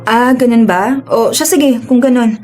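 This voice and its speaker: Casting: actress as protective married elder sister, high voice, radio drama, music bed behind. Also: female voice, unhurried, a little mellow, natural voice. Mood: caring, brisk. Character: protective older sister, married working woman, fiercely caring.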